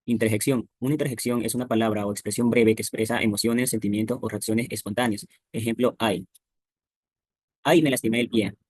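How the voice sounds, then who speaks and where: A man's voice: fairly low pitch, fairly even intonation, a little fast, no echo. A man reading out a scripted lesson plainly, one definition after another. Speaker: presenter of a grammar lesson.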